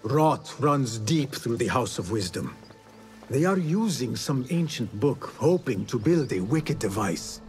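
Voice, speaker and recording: An actor playing a guarded, determined young assassin in dramatic video game dialogue. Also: male voice, medium pitch, very animated, unhurried, a little mellow. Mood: grave. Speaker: game protagonist. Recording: music behind the voice.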